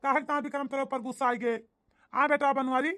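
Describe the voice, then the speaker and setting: A man's voice: very high voice, fairly even intonation, fairly quick, pushed and bright. A man voicing a questioning village father of grown sons in a comedy cartoon, with an Awadhi accent. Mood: puzzled, questioning.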